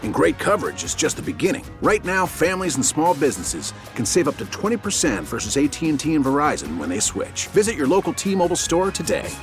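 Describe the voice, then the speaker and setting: A man's voice: fairly high pitch, very animated, fast, hardly any pauses. A man reading a scripted radio commercial as its announcer. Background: faint music.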